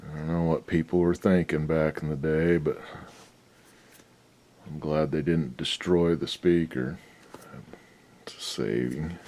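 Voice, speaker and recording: A man's voice: very deep voice, fairly even intonation, measured pace, long pauses, darkish tone. A man restoring an old radio at his workbench, giving rambling, folksy commentary with a lot of swearing. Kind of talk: casual workshop commentary.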